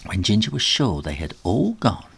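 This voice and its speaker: A man reading a children's story aloud in a dramatic, animated storybook style. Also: fairly low pitch, normal speed, creaky, breathy edge. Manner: dramatic, with acted sound effects.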